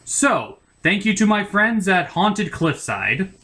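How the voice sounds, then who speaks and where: A film reviewer performs a comedic puppet show review with a very lively, swinging pitch. The delivery is crude and mock-exasperated, tipping into rants. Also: slow, husky.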